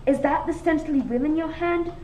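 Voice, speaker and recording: A woman's voice: high voice, fairly quick, dark tone, close to an everyday voice. A woman playing a self-important, crooked police inspector in a comic radio mystery, declaiming pompously. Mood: brisk, officious. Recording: echoey room, distant mic, faint noise.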